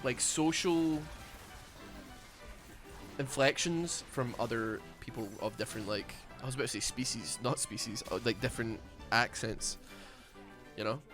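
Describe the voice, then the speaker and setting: A man's voice: medium pitch, unhurried, music in the background. A Scottish man with a Glaswegian accent and a lisp, mumbling and rambling in casual chat while streaming a game. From Scotland. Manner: mumbling, rambling with many fillers.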